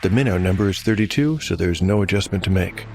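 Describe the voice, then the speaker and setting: A man with a very low voice narrates a fantasy tale as game master. His delivery is scripted and dramatic, with faint music underneath. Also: fast, vocal fry, darkish tone.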